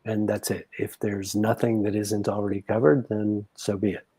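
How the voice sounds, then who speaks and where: Measured man with a mellow, dark voice. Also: fairly low pitch, little pitch movement, slightly rough. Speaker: grief counselor. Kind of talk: guiding counseling conversation.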